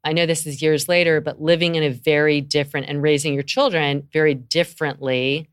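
A woman's voice: low voice, fairly even intonation, brisk, clean tone. A middle-aged woman hosting an interview, talking in an enthusiastic, chatty way and laughing easily. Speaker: podcast host, yoga teacher. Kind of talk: conversational interview.

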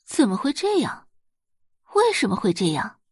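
Haughty, spiteful young woman, acted by a female narrator, very animated. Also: fairly high pitch, moderate pace, slightly rough, no room sound, studio quality, full character voice. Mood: distraught. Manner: scornful, melodramatic. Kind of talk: audio drama acting.

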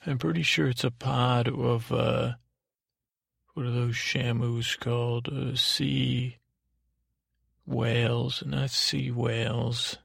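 A man with a heavily creaky, gravelly voice rambles through a bedtime monologue. His delivery is halting and digressive, meant to soothe, and stays within a narrow pitch range. The sound is close and dry. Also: flat delivery, a bit bright, no room sound. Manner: lulling, soothing. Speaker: podcast host. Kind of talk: rambling bedtime monologue to lull listeners to sleep.

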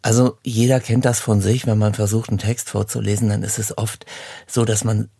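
A man hosting a relaxed, conversational interview, putting questions and remarks to his guest. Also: fairly low pitch, brisk, gravelly, breathy edge, dry recording, clean studio sound. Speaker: interviewer, host.

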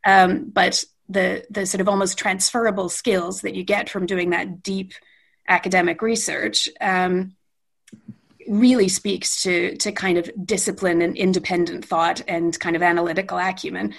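A woman's voice: fairly low pitch, little pitch movement, moderate pace, gravelly. A middle-aged Canadian woman, speaking off the cuff in a thoughtful, meandering way with many self-corrections. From Western Canada. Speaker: guest. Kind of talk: unscripted interview talk.

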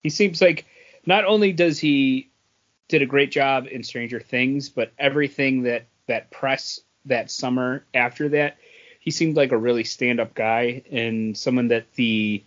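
A man co-hosting a podcast, chatting casually about entertainment news in a halting, hesitant way. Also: medium pitch, muffled recording. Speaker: podcast co-host. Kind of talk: casual chat about movie and comics news.

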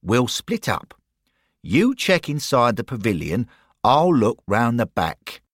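A man doing a brisk impatient caretaker. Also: medium pitch, big pitch swings, dry recording, studio quality, voice pushed up, exaggerated voice. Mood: firm. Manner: firm, businesslike.

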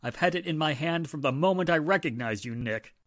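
A man's voice: fairly high pitch, expressive, fairly quick, a bit bright, no echo, studio quality. A man playing a wry, self-assured police inspector in a 1940s style radio drama, breezy and sardonic. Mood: cool, threatening.

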